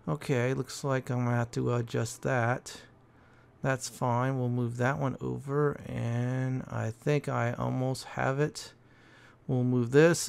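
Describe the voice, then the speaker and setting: A man giving casual, halting, explanatory game walkthrough commentary as a gaming host. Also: mid-range voice, vocal fry, clear, resonant tone.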